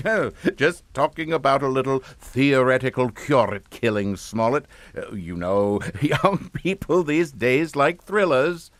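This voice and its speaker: Actor as stern English father, slow, swooping delivery. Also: medium pitch, expressive, no room sound, lightly acted. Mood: forced joviality. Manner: clipped, commanding, interrogating. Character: stern, controlling English father, cold and calculating. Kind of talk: radio suspense drama acting.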